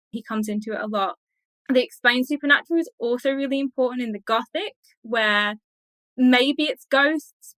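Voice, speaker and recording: A woman's voice: mid-range voice, sharp tone, no room sound, rough recording. A woman speaking as an academic interview guest, explaining things in a chatty, colloquial way with loose lists. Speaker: academic guest, literature researcher. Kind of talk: informal explanatory interview talk.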